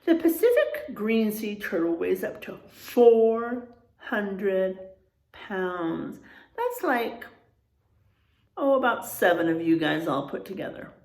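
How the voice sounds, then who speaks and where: Animated, slow-paced woman teacher reading aloud. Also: medium pitch. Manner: expressive, checks in with listeners. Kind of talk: animated children's read-aloud with explanatory asides. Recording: echoey room sound.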